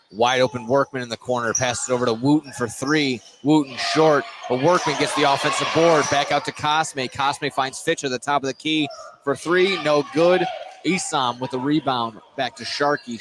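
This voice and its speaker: A man gives live basketball play-by-play in a playful way, often correcting himself. His pitch is mid-range, and clear gym noise with bouncing balls sits behind him. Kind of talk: live sports commentary.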